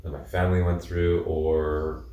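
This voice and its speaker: A man directing a film, speaking softly and reflectively with many fillers in a casual interview. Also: a bass voice, level tone, measured pace. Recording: echoey room, distant mic, faint noise.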